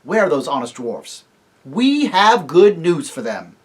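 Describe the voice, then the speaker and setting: A reader voicing a stern young king who speaks loudly and formally. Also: medium pitch, sing-song, slow pace, heavily acted. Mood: commanding. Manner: loud, forceful, formal.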